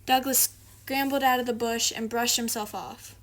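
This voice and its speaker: High-voiced woman reading a children's story. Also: fairly even intonation, moderate pace. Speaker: storybook reader, video host. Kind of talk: children's read-aloud narration.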